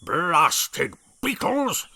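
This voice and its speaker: A man's voice: high voice, big pitch swings, drawn-out words, creaky, pushed and bright, voice pushed up, full character voice. A man voicing a blustering, hot-tempered old male dinosaur brigadier who bellows and roars his orders. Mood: bellowing angry. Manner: bellowing, roaring.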